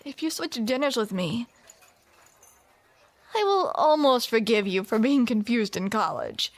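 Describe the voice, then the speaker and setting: A woman's voice: a little high, lively intonation, a little slow, no echo. A woman performing a scripted romantic audio roleplay, with playful, teasing banter, close to the microphone.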